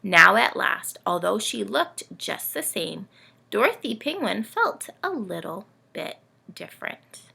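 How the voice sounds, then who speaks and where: A woman reads a storybook aloud for children. The voice is bright and very creaky, with lively swings in pitch and an engaging, expressive storytelling delivery. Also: measured pace. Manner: animated, asks the young listeners questions.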